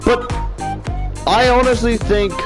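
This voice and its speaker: A man's voice: very high-pitched, fairly even intonation, takes it slowly, over music. A man giving a casual, rambling game review in a profane, exasperated, ranting manner with emphatic repetition. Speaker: video game reviewer, YouTuber.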